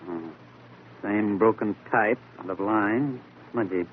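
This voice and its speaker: An actor playing a shrewd, polite amateur detective in old radio drama, articulate and inquiring. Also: male voice, on the low side. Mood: probing, courteous. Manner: polite, measured questioning, articulate. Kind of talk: 1940s radio acting. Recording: narrow-band, hissy old radio recording.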